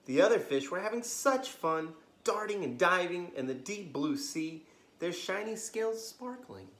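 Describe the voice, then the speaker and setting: An American man in his thirties hosting a children's story time, reading aloud expressively with gentle asides to young listeners. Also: sits high, lively intonation, unhurried, slight background noise. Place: living room, on a couch indoors. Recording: roomy, echoey home recording.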